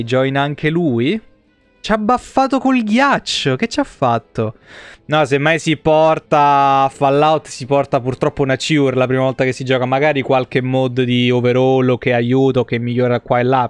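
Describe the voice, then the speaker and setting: A man's voice: a little high, clear, resonant tone, no room sound. A man, around his thirties, giving casual, joking live commentary on a game and swearing freely. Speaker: game streamer. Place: indoor home streaming setup.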